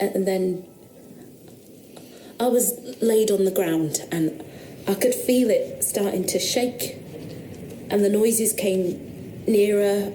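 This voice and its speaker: A woman's voice: mid-range voice, mellow, roomy sound, slight background noise, close to an everyday voice, low-quality recording. Woman playing a shaken country girl. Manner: halting, hesitant, rustic speech. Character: frightened young country woman, insistent witness. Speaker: witness questioned about noises. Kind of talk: radio drama acting.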